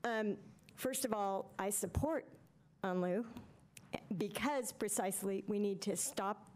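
A woman's voice: medium pitch, measured pace, a little mellow. A middle-aged woman giving blunt, exasperated public comment at a podium microphone in a council chamber. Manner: blunt, exasperated, gesturing as she talks. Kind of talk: public comment at a city meeting.

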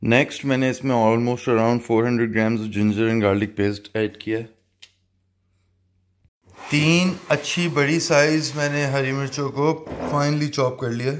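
A man's voice: mid-range voice, slow pace. A young man hosting his cooking channel, casually explaining a recipe in a home kitchen and slipping English phrases into his speech. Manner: mixes Hindi-Urdu with English phrases, relaxed and chatty. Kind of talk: casual cooking demonstration, voice-over.

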